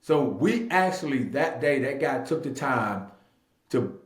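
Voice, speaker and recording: A man's voice: medium pitch, lively intonation, a little slow. A man of about thirty telling his story casually in an interview, emphatic, repeating phrases and acting out bits of dialogue. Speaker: real estate agent and investor being interviewed. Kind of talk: animated personal storytelling in casual talk. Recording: echoey room.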